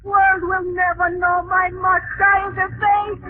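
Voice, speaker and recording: A woman's voice: very high-pitched, level tone, slow pace, no echo. A woman playing a fearful, fierce servant devoted to her master in a 1940s radio drama, with a foreign accent and broken, dramatic English. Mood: furious, vengeful. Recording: tinny 1940s radio broadcast, music.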